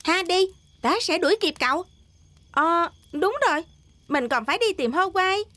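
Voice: very high voice, animated, moderate pace, bright, forceful tone, dry recording, exaggerated voice. An actor voicing an eager, friendly young boy dinosaur trainer in a children's cartoon dub. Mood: concerned.

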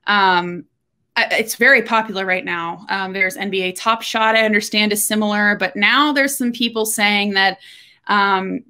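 A young woman from Texas chats straight into her webcam from a room at home. Her voice is bright and forward, and she talks candidly with quick joking asides, like a golf podcast co-host running through the week's news. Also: mid-range voice, fairly even intonation, clear, resonant tone. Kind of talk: casual solo talk to camera.

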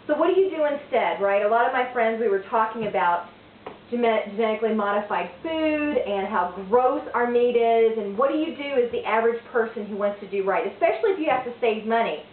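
Woman in her forties, chatty home-cook host, slightly high voice, far from the camera in a boomy kitchen, thin phone-like sound. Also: expressive, fairly quick, a little hiss or hum. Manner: chatty, rambling, self-correcting; moves around the kitchen while talking. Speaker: home cooking vlogger. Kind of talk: casual cooking demo. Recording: echoey, distant, tinny phone-like sound.

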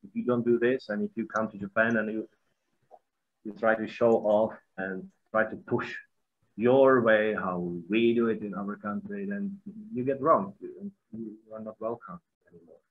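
Middle-aged Czech man, earnest webinar guest; slightly low, husky, heard over a dull laptop mic. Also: fairly even intonation, normal speed. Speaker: guest musician. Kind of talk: webinar video call conversation. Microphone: video call laptop mic. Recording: dull lo-fi video call audio.

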